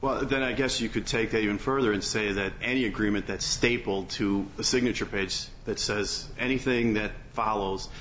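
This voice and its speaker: A man speaking as a lawyer in oral argument on appeal, halting and hedging, often repeating his phrases. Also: lowish voice, fairly even intonation, fairly bright tone. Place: courtroom. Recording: muffled courtroom recording, faint background noise.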